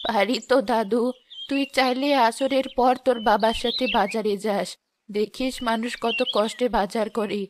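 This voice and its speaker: A kindly, pious cartoon grandmother, played by a woman. She speaks calmly and patiently in a fairly high voice. Clear background noise runs under the recording. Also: moderate pace, close to an everyday voice. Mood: gentle. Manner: calm, kindly and teaching. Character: gentle, pious wealthy grandmother.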